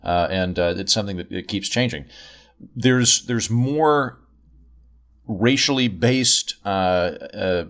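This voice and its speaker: Man, low voice, halting, searches for words, casual panel discussion. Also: a bit bright.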